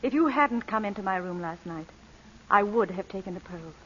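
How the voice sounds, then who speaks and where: A woman's voice: mid-range voice, expressive, normal speed, fairly bright tone, slight background noise, lightly acted. An actress playing a poised, candid and proud lady thief, speaking in an articulate, theatrical old radio style. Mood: tender. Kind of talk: 1940s radio acting. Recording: dull vintage radio broadcast recording.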